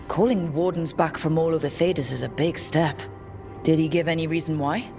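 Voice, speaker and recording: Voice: mid-range voice, steady tone, fairly quick, gravelly, music in the background, natural voice. An actor playing a determined young leader in a fantasy game, speaking directly and earnestly. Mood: focused.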